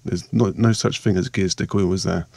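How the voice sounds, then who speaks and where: Croaky male vlogger, lowish voice, dry close sound, rambling casual narration. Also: steady tone, moderate pace, darkish tone, dry recording. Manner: chatty, rambling, self-mocking. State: hoarse, croaky voice from a sore throat. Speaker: vlogger and meditation maker.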